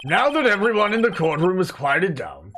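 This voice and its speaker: A man voicing a ponderous, formal elderly courtroom judge from a video game, speaking in a measured way. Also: high-pitched, huge pitch swings, fairly bright tone, a little hiss or hum, heavily acted. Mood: puzzled, solemn.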